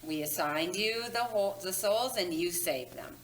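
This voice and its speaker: A woman reading the part of a brisk, matter-of-fact ghost supervisor at a screenplay table read. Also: fairly low pitch, animated, slight background noise, natural voice, poor audio. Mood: businesslike. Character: brisk deadpan female ghost supervisor at an afterlife agency.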